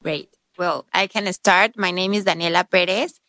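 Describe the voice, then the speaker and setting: A woman's voice: lowish voice, steady tone, normal speed, sharp tone, dry recording, studio quality. Young woman, enthusiastic, friendly, podcast guest. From Mexico City. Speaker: guest, MBA student. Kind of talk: casual podcast chat.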